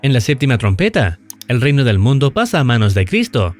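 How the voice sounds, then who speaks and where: A man reading scripted narration in a measured, explanatory storytelling way, as in a recap and analysis video. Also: mid-range voice, big pitch swings. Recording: music under the voice. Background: background music.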